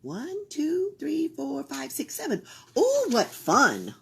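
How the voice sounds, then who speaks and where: A woman reading a children's picture book aloud for a library storytime, expressive and sometimes dropping into a whisper. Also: high-pitched, slow pace, vocal fry. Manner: expressive, drops into whispers for suspense.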